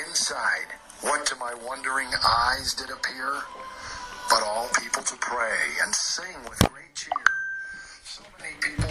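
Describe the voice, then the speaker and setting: An American man reading a rhyming poem as a story, measured, with pauses between short phrases. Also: mid-range voice, rough recording. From Ohio, United States. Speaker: amateur poet. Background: loud music.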